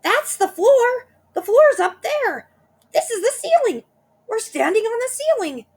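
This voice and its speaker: Screechy nasty old lady, super high and loud, huskyish. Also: big pitch swings, normal speed. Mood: shrieking panic. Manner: screeching, wailing. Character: shrill nasty old woman, panicky and spiteful.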